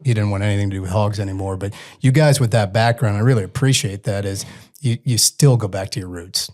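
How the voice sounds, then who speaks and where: A man's voice: on the low side, fast, a little mellow. A man. His delivery is casual conversation, moving the interview along. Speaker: podcast host.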